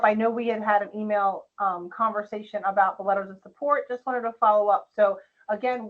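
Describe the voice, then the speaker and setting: Woman, meeting participant, informal follow-up, medium pitch, work call. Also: highs cut off. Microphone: online conference call.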